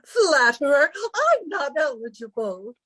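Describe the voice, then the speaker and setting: A woman's voice: high-pitched, big pitch swings, unhurried, a bit bright, no room sound, full character voice. A woman playing a vain, flirtatious wealthy society hostess, gushing and affected, in 1940s radio style. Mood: coy, gushing. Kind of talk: recreated 1940s radio comedy-drama acting.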